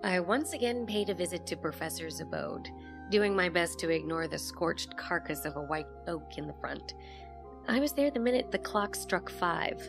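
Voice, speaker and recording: A woman's voice: lowish voice, creaky. An actress playing a curious, candid female investigator, narrating an audio drama in a reflective, inquisitive manner. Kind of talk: scripted first-person audio drama narration. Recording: music bed behind the voice.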